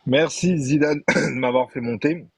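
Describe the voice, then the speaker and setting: A man with a husky, mid-pitched voice arguing in a heated live debate. He is insistent, repeats himself and keeps talking over the others to hold the floor. The sound is dry and close. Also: measured pace, no echo. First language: not Arabic. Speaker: debate participant.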